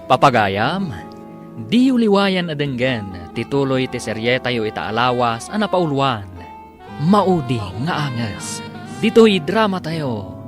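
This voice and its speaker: A man with an Ilocano accent narrating and announcing a scripted radio drama. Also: mid-range voice. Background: music.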